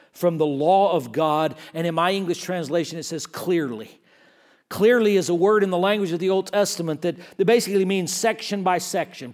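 Guest preacher, male, fairly high voice, emphatic, often restarts words. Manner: swings from quiet and slow to loud and fast. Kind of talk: sermon.

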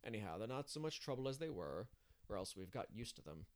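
A man's voice: on the low side, very animated, quick pace. A man voicing a reserved older woman in a storybook, curt and grimly matter-of-fact.

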